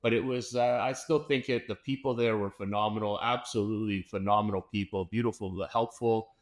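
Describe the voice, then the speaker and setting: Male host, somewhat low voice, eager and chatty, lots of restarts. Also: steady tone, brisk. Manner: upbeat, enthusiastic, many fillers and restarts. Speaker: podcast host. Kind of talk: casual interview chat.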